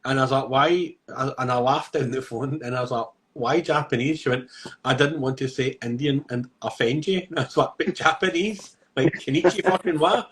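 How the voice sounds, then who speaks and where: A man's voice: medium pitch, normal speed. A man, co-hosting a podcast and telling jokey stories with laughter. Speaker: podcast co-host. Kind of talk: casual podcast chat.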